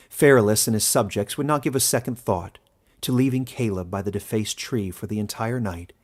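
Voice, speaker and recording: A man with a fairly low voice reads fantasy fiction as an audiobook narrator. His delivery is scripted and dramatic, made for storytelling. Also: darkish tone.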